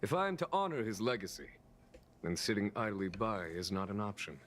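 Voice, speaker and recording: A man playing a calm, unhurried general in a video game, speaking formally and with measured, wry authority. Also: fairly low pitch, slight background noise, lightly acted. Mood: musing, resigned. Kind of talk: scripted video game voice acting.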